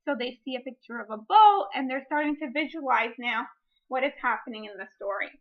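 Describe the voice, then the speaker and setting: A woman with a high voice talks as a teacher doing a read-aloud. She explains as she goes and reads with expression. The sound is a little muffled and lo-fi. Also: lively intonation, a little fast. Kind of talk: picture-book reading with teaching explanation. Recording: dull lo-fi recording.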